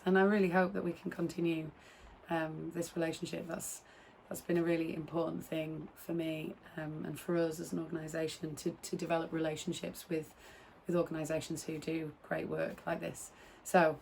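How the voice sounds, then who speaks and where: A woman filmmaker who is losing her voice and sounds croaky, casually introducing a film with friendly, halting speech. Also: fairly low pitch, darkish tone, a little hiss or hum.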